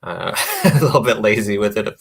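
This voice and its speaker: Man, very low voice, relaxed, hesitant, guest author on a radio show. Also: moderate pace, vocal fry, breathy edge. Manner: relaxed, hesitant with many fillers, laughs often. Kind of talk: casual interview conversation. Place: radio or podcast interview.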